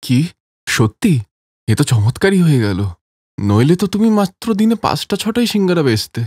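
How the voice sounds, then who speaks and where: A man's voice: on the high side, sing-song, moderate pace, darkish tone, no room sound, studio quality. A man voicing chatty village men in a cartoon. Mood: warm.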